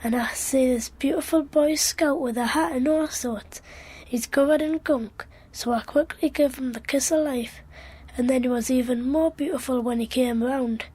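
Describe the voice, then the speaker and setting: A woman's voice: high-pitched, normal speed, a little mellow, breathy edge. A woman playing an earnest, inquisitive little Geordie boy in a radio drama, speaking in a naive, wide-eyed way. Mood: dreamy, earnest. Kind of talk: radio drama monologue and dialogue.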